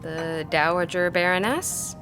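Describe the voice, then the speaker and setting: An actress in an audio drama playing a guarded but loyal scholar, speaking earnestly and hesitantly. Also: female voice, fairly low pitch, measured pace, over music, close to an everyday voice. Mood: dry, calm. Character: guarded, loyal female scholar hiding a secret.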